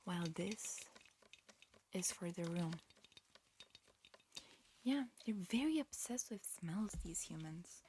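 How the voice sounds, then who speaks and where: A woman performing a whispered ASMR roleplay, mixing breathy whispers with soft speech in a halting, matter-of-fact, instructional manner. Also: lowish voice, animated, slight background noise. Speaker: ASMR performer playing an alien examiner.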